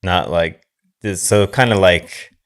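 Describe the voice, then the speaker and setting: A man with a deep voice talks at an unhurried, slow pace. He is a podcast co-host in a casual chat about films, with dry, teasing delivery. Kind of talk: casual film discussion.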